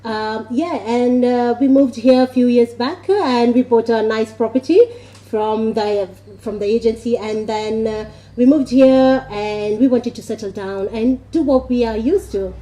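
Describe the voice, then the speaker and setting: A woman's voice: fairly high pitch, measured pace, few pauses, mellow, rough recording. A woman from India with Italian-influenced English, a deli owner answering interview questions with many fillers and restarts. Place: indoors in a new deli.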